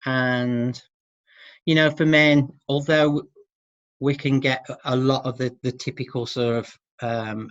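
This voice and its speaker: A middle-aged man speaking as an expert guest in an informal interview, hesitant and halting, often seeking agreement with tag questions. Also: medium pitch, no room sound. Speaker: expert guest who works with men. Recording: dull, muffled lo-fi audio.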